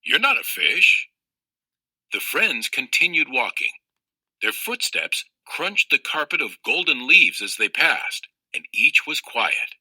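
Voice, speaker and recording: A man reading a children's novel aloud in an expressive storytelling style. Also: medium pitch, a little slow, slightly rough, no echo, rough recording. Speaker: audiobook reader of a children's novel.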